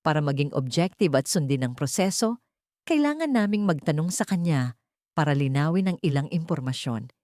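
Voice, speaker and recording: A woman voicing a serious male police officer in an audio story, calm, firm and official. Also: low-pitched, moderate pace, no room sound, studio quality. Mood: calm, determined.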